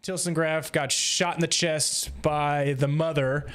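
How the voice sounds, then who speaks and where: A man hosting a podcast, casually recapping and discussing a story in an animated way. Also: on the high side, a little slow, clear, resonant tone. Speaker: podcast host.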